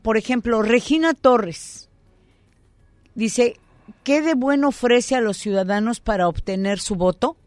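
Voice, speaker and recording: A woman's voice: a little high, measured pace, no room sound. Mexican woman hosting, dry studio-like sound. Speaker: interview host. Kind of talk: conversational interview, reading audience questions.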